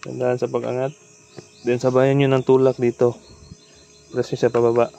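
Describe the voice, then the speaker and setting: Filipino man, very monotone, mid-pitched, casual how-to narration, informal instructional delivery, background noise with chirping. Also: normal speed, gravelly. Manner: informal, instructional, talking while working. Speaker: repair tutorial host. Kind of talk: casual hands-on tutorial, improvised narration.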